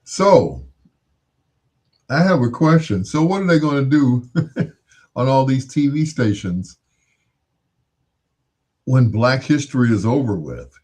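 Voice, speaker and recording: A man's voice: medium pitch, lively intonation, slow, long pauses, darkish tone, slightly rough. An American man hosting in a casual, rambling monologue, musing aloud and posing rhetorical questions. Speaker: radio-style host.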